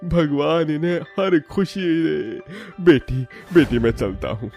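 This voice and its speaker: A man's voice: a little high, lively intonation, takes it slowly, vocal fry, a little mellow, faint music behind, full character voice. A man playing a poor, sickly older man in a cartoon story, pleading and humbly grateful. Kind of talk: Hindi cartoon story acting.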